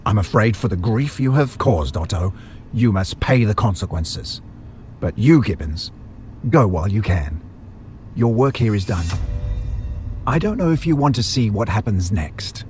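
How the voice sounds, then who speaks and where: An actor playing a sinister butcher villain in an audio drama, theatrical, mock-apologetic and taunting. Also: male voice, fairly low pitch, lively intonation, measured pace, darkish tone, a little hiss or hum. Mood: ominous. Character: sinister, politely menacing butcher villain who feeds victims to monsters. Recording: music under the voice.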